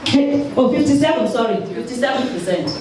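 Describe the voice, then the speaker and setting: A Nigerian woman gives an emphatic talk from slides, stressing each figure. Her voice sits a little high and comes from a distance in a strongly echoing hall with a crowd behind her. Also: expressive, normal speed. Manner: emphatic, repeats key figures for her interpreter. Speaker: health worker giving a talk. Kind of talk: presentation with slides.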